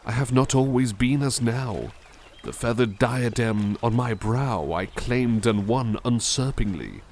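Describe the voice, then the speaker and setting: A man voicing a kind-hearted, caring butler, reading poems softly and musing gently between them in a close, intimate roleplay. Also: mid-range voice, unhurried, slight background noise, natural voice. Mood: reciting. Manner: soft-spoken, gentle, reflective asides. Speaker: ASMR roleplay performer. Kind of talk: ASMR roleplay poetry reading.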